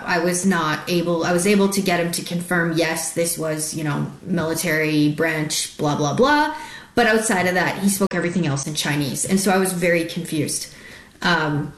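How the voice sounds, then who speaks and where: Low-voiced woman from Alberta, echoey. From Canada, Alberta. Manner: earnest, rambling, thinks aloud. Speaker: guest. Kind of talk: casual talk-show chat.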